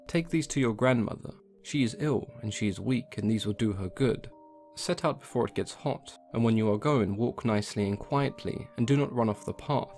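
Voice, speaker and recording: A man's voice: fairly low pitch, darkish tone, natural voice. A man voicing a caring mother giving her little daughter careful instructions.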